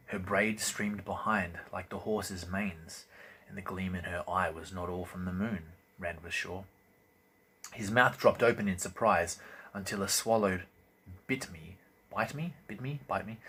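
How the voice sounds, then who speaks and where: Male fantasy audiobook reader, deep register, theatrical and deliberate delivery, amateur recording with slight background noise. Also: bright, forceful tone, low-quality recording. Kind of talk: dramatic scripted narration of a fantasy novel.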